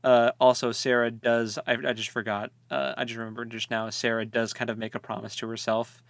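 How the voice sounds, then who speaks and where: A man's voice: medium pitch, flat delivery, brisk, creaky, no echo. A man co-hosting a book discussion in a casual chat style, thinking aloud in a hesitant way. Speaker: podcast co-host.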